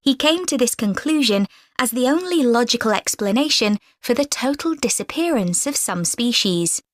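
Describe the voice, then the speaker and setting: A woman's voice: fairly high pitch, animated, no echo. Woman reading a scripted presentation. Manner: clear, measured delivery. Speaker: lecture speaker in a listening exam recording. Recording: dry studio voice recording.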